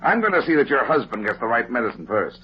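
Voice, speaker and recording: Actor as a blunt hardboiled detective, muffled old radio. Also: male voice, mid-range voice, sing-song, quick pace, soft background music, close to an everyday voice. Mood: stern, accusing. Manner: dry, hardboiled, clipped. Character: blunt, unflappable male private detective, the fat man. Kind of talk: 1950s radio detective drama acting. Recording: muffled vintage 1950s radio recording.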